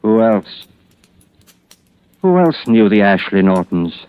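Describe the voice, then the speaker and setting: Casting: brooding detective, low register, deliberate and earnest, vintage suspense radio, dull narrow sound. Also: male voice, big pitch swings, smooth, no echo. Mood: probing. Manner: deliberate, formal, dramatic. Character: haunted middle-aged British police detective, earnest and uneasy. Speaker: radio drama lead and narrator. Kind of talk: golden-age suspense radio acting, first-person narration. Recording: muffled old radio recording under loud music.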